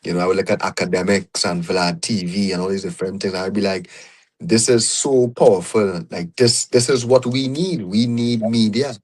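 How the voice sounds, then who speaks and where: A young man with a Trinidadian accent and a fairly low voice, talking through his journey as a guest on a podcast. He speaks in a reflective, storytelling way, peppering his thoughts with "you know". The conversation is casual and unhurried. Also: steady tone.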